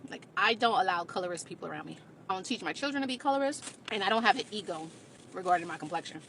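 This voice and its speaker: A woman speaks candidly about her own life in a mid-pitched voice. She is blunt and puts firm emphasis on her points. A faint noise sits behind her. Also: expressive, quick pace, a bit bright, low-quality recording. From Caribbean. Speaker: video creator. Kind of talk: candid personal storytelling to camera.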